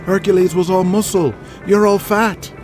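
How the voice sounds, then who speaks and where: A man's voice: high voice, fairly even intonation, moderate pace, a little mellow, natural voice. A man voicing a crude, boastful Irish wrestler in an audio drama, rowdy and foul-mouthed, with a music bed behind. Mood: mocking.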